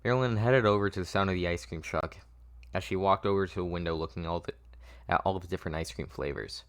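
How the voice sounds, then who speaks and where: A man narrating a script at a table read, halting and stumbling over the text. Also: low-pitched.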